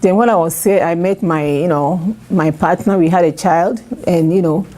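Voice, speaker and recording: A woman's voice: on the low side, moderate pace, mellow. An older woman from Nigeria who grew up in Ghana, talking casually to an audience at a book event, with frequent fillers and restarts. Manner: conversational, many fillers and restarts. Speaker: author speaking at a book event. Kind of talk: unscripted personal talk to an audience.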